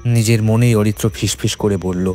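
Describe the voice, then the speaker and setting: A man reads a scripted horror tale as narrator. His voice is fairly deep, with measured, suspenseful phrasing. Soft music plays underneath. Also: fairly even intonation. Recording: faint music behind.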